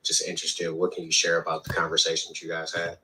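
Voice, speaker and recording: A man's voice: a bass voice, monotone, fast talker. A man moderating and asking questions at a press conference in a formal, businesslike way. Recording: distant noisy broadcast audio.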